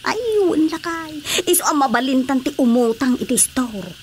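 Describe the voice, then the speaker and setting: An eager, humble village wife with a high voice, acted by a woman. She performs in radio drama comedy style. Faint frog and insect sounds sit behind her. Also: moderate pace, a little hiss or hum, close to an everyday voice. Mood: pleading, hopeful.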